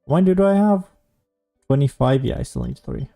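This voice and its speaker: A male gaming streamer talks casually over his gameplay. His voice sits at a middling pitch with a soft, mellow edge. He rambles and thinks aloud, with faint music playing behind him. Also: expressive, normal speed, creaky. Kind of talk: casual solo gameplay commentary.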